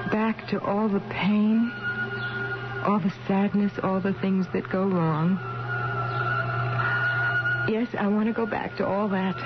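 A woman's voice: mid-range voice, close to an everyday voice. An American woman acting in a 1970s radio drama as a frail, tender wife near death, speaking in a dreamy, wistful way. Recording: muffled radio broadcast with music behind.

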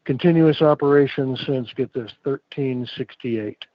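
A man's voice: mid-range voice, unhurried, no room sound. A man who is a guest on a podcast, telling stories in a rambling way with many restarts. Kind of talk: casual chat. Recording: muffled lo-fi sound.

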